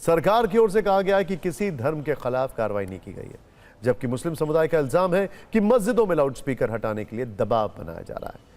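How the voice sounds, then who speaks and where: A male news anchor with a fairly high voice and very lively intonation. He presents in an emphatic, explanatory way. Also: a little fast.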